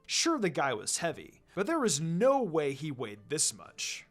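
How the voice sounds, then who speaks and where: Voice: medium pitch, huge pitch swings, measured pace, faint music behind. A narrator reading fan fiction aloud as scripted storytelling.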